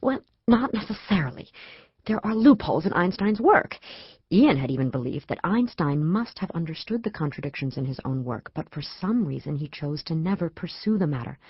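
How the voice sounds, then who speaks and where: An actress playing an anxious, educated astrophysicist worried about her husband, earnest and emotional in an audio drama, with halting speech. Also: deep voice, expressive, slightly rough, no room sound, lo-fi sound, exaggerated voice. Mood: intent, explaining. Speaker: actor in a radio play.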